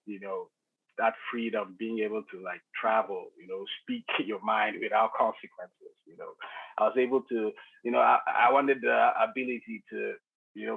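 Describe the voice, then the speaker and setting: A man in his thirties from Nigeria, telling his story as a podcast guest with many restarts and fillers and frequent chuckles. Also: mid-range voice. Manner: chuckles often, hesitant restarts, many "you know" fillers. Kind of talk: casual conversational storytelling. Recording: tinny phone-like line.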